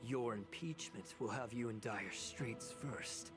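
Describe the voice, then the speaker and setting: A man voicing a scheming, smug official in a video game, speaking formally and with measured menace. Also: medium pitch, lightly acted. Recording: game music behind.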